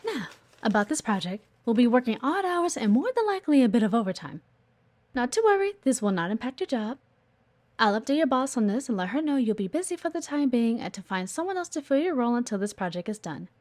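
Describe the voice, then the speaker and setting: A woman's voice: on the high side, very animated, quick pace, a little mellow. A woman playing a blunt, guarded but earnest executive assistant in an audio roleplay, assertive and exasperated. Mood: businesslike, insistent. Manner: exasperated, assertive, frequent sighs. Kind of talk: audio roleplay acting addressed to the listener.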